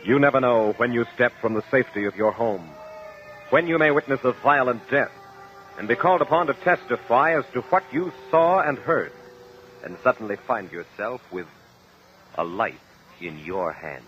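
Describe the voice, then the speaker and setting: A man's voice: mid-range voice, lively intonation, moderate pace. A man with an American accent making portentous, scripted announcements in the dramatic style of 1950s radio. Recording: dull lo-fi old radio recording. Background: loud music.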